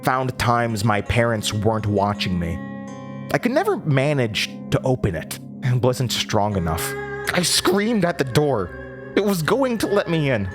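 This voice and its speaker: A man playing a troubled, trapped young woman, lonely and obsessive, in a halting, intense horror audio drama monologue. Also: mid-range voice. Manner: halting, stammering, brooding, intense.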